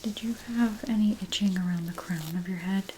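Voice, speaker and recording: A woman doing an ASMR role play as a caregiver, speaking softly and often in a whisper, in a gentle, unhurried, attentive manner. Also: mid-range voice, steady tone, low-quality recording. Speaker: ASMR role-player acting as lice checker. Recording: clear background noise.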